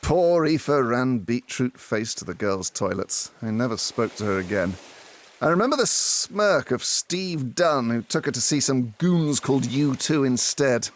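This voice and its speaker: A man's voice: mid-range voice, expressive, a little slow, natural voice. A man playing a brooding, self-important detective in a comic audio drama, speaking with earnest melodrama. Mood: bitter regret. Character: grown Irish police detective, brooding, self-important, aggrieved.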